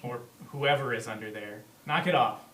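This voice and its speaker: A man's voice: medium pitch, moderate pace, a bit bright, slight background noise, natural voice. Man playing bitter, wounded comic actor, echoey. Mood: irritated muttering. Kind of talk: live comedy table read. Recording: echoey room, distant mic.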